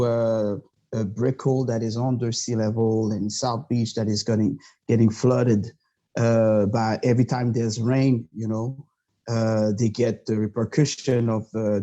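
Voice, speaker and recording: A man from Montreal whose first language is French, a podcast guest talking in a halting, passionate way with many fillers. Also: mid-range voice, warm tone. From Montreal, Canada. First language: Quebec French. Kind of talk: casual interview talk.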